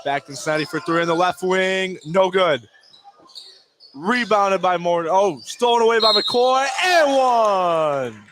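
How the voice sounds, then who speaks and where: A man with a slightly high voice calls a basketball game live for radio. His phrases are short and clipped, rising to excitement on big plays. Clear gym noise and a bouncing ball sit behind him. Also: a little slow. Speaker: play-by-play basketball announcer. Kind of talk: live sports radio commentary. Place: indoor basketball gymnasium. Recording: noisy gym ambience.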